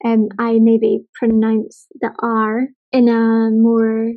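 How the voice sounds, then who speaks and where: A woman's voice: fairly high pitch, completely flat delivery, takes it slowly, narrow, tinny audio. A woman from Scotland with a light Scottish accent, giving thoughtful practical advice in a casual conversation.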